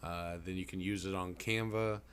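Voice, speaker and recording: A man presenting a casual software tutorial in a folksy, informal way. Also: low-pitched, steady tone, moderate pace. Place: indoors at a computer. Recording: clear background noise.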